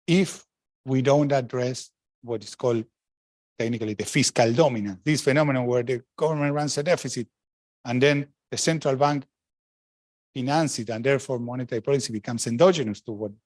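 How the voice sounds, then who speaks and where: A man's voice: medium pitch, a little mellow, no room sound, studio quality. A middle-aged man from Argentina, a former central banker, speaking frankly and emphatically on a panel, with restarts and asides. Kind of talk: panel talk answering questions.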